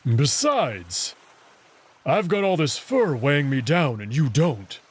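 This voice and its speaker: A man's voice: fairly high pitch, big pitch swings, slow pace, husky, heavily acted. A man voicing a big, proud, teasing young male wolf in a visual novel. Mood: matter-of-fact, friendly. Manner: teasing, confident. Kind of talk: visual novel character voice acting.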